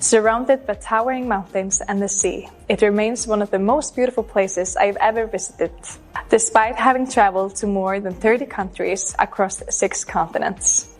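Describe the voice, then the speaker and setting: A woman, giving a scripted self-introduction as a pageant contestant in an earnest, measured way. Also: mid-range voice. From Iceland. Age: 20s. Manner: earnest, measured recited delivery.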